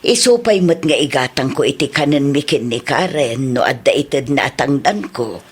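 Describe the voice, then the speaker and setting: Female actor as poor washerwoman mother, gentle and doting, husky raspy voice, Ilocano accent, radio serial. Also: a bass voice, lively intonation, moderate pace, full character voice. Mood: meek, weary. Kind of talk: Ilocano radio drama acting.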